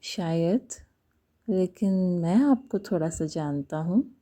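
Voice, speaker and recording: A woman voicing a persistent young male suitor in a romantic story, tentative and gently pleading. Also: fairly low pitch, expressive, slow pace, pitched below the performer's own voice.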